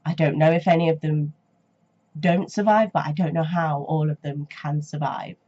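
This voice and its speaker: A woman's voice: sits low, steady tone, normal speed, dark tone. A young woman with a cold and a blocked nose, chatting hesitantly on her reaction vlog with lots of ums and restarts. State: stuffy nose and sore throat from a cold. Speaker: reaction channel host. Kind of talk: casual reaction vlog chat. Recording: dull lo-fi home recording.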